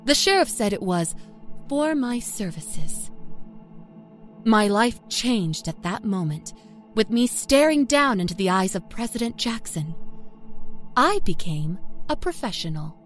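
Woman acting a lively, sly small-town confessor. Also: mid-range voice, a little slow. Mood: sly, gleeful. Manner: folksy, chatty, smugly confiding. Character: sly, self-satisfied small-town Kentucky woman, born liar. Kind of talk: first-person dark storytelling. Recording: music under the narration.

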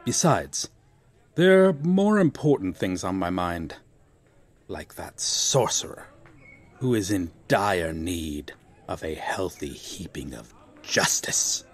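A man's voice: deep voice, sing-song, slow, full character voice. A man playing a proud, courteous medieval knight out of his time in an audio roleplay, with formal, archaic, theatrical phrasing. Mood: puzzled, resolute. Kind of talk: ASMR roleplay acting.

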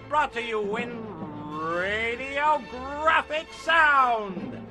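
A woman playing a melodramatic cliffhanger announcer in old-time radio serial style, with drawn-out emphasis. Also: sits high, full character voice.

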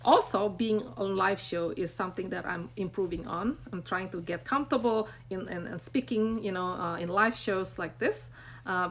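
A woman's voice: fairly low pitch, a bit bright. Earnest woman with Indonesian accent. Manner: rambling, filler-heavy, earnest and encouraging. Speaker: brand coach hosting a live stream. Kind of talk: unscripted live-stream talk to viewers. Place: small room indoors. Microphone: phone camera at arm's length.

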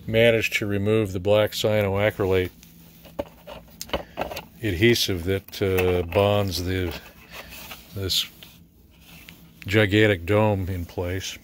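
Slow-talking, low-voiced American man. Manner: halting, unscripted, matter-of-fact. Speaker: speaker repair technician. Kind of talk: casual repair walkthrough, narrated while filming. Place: cluttered indoor repair workshop. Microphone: handheld camera or phone mic. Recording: faint background noise.

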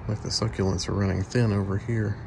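Gravelly low-voiced man touring an antique mall. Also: a little fast. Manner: relaxed, chatty, steady. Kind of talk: casual walk-through vlog narration. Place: large indoor antique mall. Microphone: handheld phone camera. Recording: shop noise behind voice. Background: shop ambience.

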